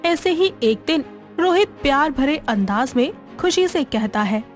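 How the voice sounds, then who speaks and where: A woman's voice: high voice, expressive, measured pace, faint music behind. A woman narrator reading a scripted moral story in storybook style.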